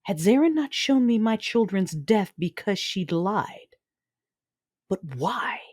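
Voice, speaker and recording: A woman's voice: medium pitch, expressive, takes it slowly, darkish tone. A woman playing a brooding, menacing Sith lord, narrating her own story in an audio drama. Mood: uneasy, searching. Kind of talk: first-person audio drama narration and dialogue. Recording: dramatic music underneath.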